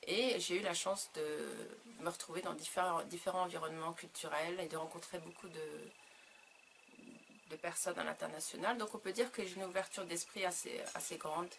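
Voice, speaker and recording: A woman with a slightly low, noticeably creaky voice gives reflective interview answers about her own work as an author. She speaks haltingly, often pausing to search for the next word. Faint noise sits behind her voice. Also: steady tone. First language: French. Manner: hesitant, halting, searching for words.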